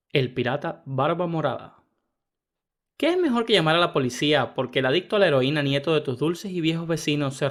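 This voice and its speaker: Fast-talking man narrating stories. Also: a little high, fairly bright tone. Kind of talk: scripted story narration.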